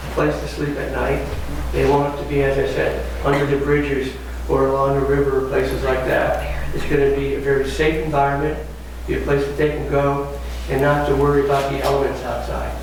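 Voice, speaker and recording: Raspy male mayor, formal meeting address, very echoey room, noisy recording. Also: mid-range voice, monotone, brisk, few pauses. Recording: echoey room, distant mic, clear noise.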